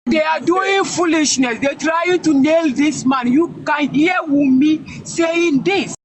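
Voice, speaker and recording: A man talking heatedly on a live stream, often shouting and pressing his points hard. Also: very high-pitched, takes it slowly. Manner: shouting, emphatic.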